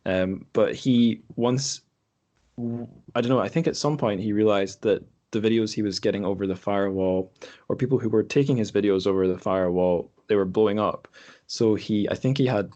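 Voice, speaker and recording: A man with a low voice hosts a casual podcast conversation. He talks in a loose, hesitant way, circling through his explanations as he goes. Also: moderate pace, mellow, muffled recording. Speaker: podcast host. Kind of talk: casual chat.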